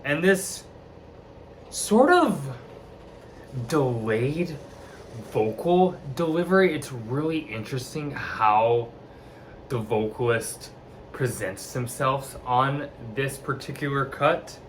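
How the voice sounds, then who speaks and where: Slow, halting male music reviewer. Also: medium pitch, expressive, a little hiss or hum. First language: not Icelandic. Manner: enthusiastic, halting with frequent restarts. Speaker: album reviewer.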